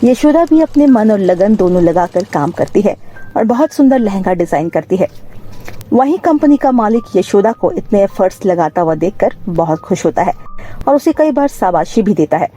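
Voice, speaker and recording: Mellow woman storyteller over music. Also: mid-range voice, rough recording. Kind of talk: scripted narration of a moral bedtime story. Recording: music bed under the voice. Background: background music.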